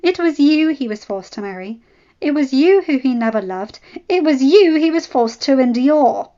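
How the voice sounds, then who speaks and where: A woman's voice: high voice, unhurried, muffled recording, pitched above the performer's own voice. A woman voicing a proud, defiant young noblewoman who answers her rival with cold, cutting retorts.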